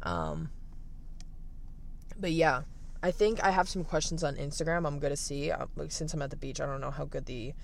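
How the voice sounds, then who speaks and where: A young man in his late teens with a raspy voice, candidly thinking aloud in a casual livestream from the beach, full of fillers and restarts. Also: fairly high pitch, fairly even intonation, moderate pace, long pauses, slight background noise. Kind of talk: reflective casual livestream monologue.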